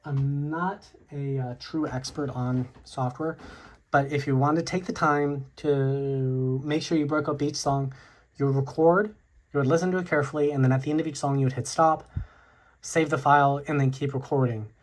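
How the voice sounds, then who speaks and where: A tech video host casually walking through a product tutorial in a hesitant, halting, informal way. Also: medium pitch, steady tone.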